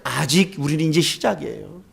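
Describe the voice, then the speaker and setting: A male pastor from South Korea delivers a sermon in a fairly high voice. He talks conversationally, asks the congregation questions, and repeats key phrases for emphasis. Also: animated, a little fast, gravelly. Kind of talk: sermon with rhetorical questions and anecdotes.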